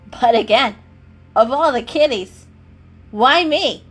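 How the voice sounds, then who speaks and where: A woman's voice: high voice, animated, slow pace, soft background music, voice pushed up, full character voice. A woman voicing a teasing, mischievous gray male cat in a storybook, with a casual, cheeky manner. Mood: smug, amused.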